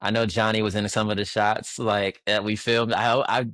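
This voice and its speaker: Male guest with a very low voice, easygoing and chuckly, dry close mic. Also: normal speed, no echo. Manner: relaxed, laughs often, many fillers and restarts. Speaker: guest music producer. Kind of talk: casual interview chat.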